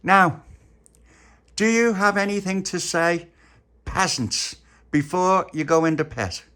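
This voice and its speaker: A man's voice: sits high, slow, sharp tone, clear, resonant tone, voice pushed up, full character voice. A man voicing a bossy little girl who plays a haughty queen, pompous and imperious.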